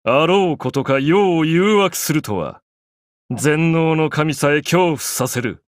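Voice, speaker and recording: An actor playing an arrogant, grandiose young pharaoh in an anime game, declaiming in an imperious, pompous way. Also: male voice, on the high side, animated, takes it slowly, no room sound, studio quality. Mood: grand, haughty.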